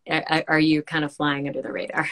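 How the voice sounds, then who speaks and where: Lowish-voiced woman host asking friendly questions, little laughs. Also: little pitch movement, a little fast, a bit bright. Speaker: podcast host. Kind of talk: casual interview.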